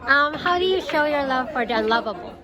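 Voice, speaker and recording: A woman's voice: sits high, lively intonation, a little fast, crowd noise. A woman asking passers-by short questions in a casual street interview, with brief reactions.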